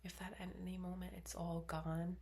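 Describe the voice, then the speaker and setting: A woman in her twenties whispering in a soft, rambling ASMR monologue, full of fillers. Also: on the low side, level tone, moderate pace. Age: 20s. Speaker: ASMR creator. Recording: faint background noise.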